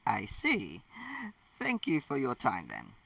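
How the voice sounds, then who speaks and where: A man's voice: medium pitch, wildly swooping pitch, takes it slowly, vocal fry, sharp tone, far from the mic, highs cut off, heavily acted. A man voicing a stern, weary older schoolmistress, dry and resigned, in a story reading. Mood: dull, resigned.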